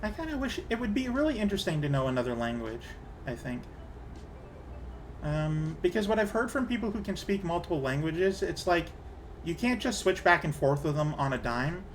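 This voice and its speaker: A chatty man talks casually while he plays a game, wandering from thought to thought and restarting sentences. His voice sits a bit high for a man. A faint hiss runs underneath. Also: sing-song, brisk. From Canada. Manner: rambling, chatty, restarts a lot. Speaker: streamer. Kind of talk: casual chat while gaming.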